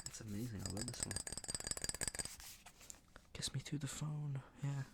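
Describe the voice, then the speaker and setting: A man speaking in a hushed murmur that slips into whispering, with a sore throat, casually showing items for ASMR. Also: mid-range voice, a little slow, gravelly, very noisy. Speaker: ASMR video creator. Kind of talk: ASMR, soft casual product show-and-tell.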